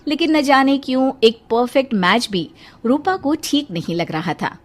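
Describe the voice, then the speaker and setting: A woman's voice: sits high, expressive, moderate pace, background noise. A woman reading scripted story narration.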